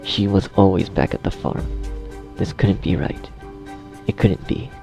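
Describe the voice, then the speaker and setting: Deep, creaky, dramatic male story narrator. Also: steady tone, a little slow, mellow. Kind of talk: dramatic scripted narration of horror fan fiction. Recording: faint music behind the voice.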